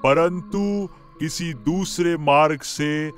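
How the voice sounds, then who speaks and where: A man voicing a solemn heavenly messenger in a cartoon, giving warnings in a loud, grave, drawn-out way. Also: on the high side, soft background music. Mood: urgent warning. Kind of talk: cartoon Bible story dialogue.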